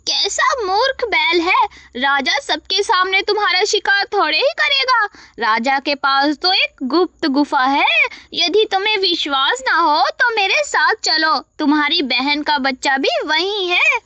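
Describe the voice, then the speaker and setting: A woman voicing a sly, scheming female fox with a cunning, wheedling manner in an animated moral story. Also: very high voice, unhurried, few pauses, no echo, lo-fi sound, full character voice. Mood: mocking, persuasive.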